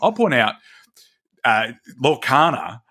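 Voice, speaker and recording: A man's voice: mid-range voice, very animated, takes it slowly, bright, forceful tone. A man with a country Victorian accent, co-hosting a casual board game podcast, joking and restarting his sentences often. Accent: Australian, country Victorian. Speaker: podcast co-host. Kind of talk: casual chat.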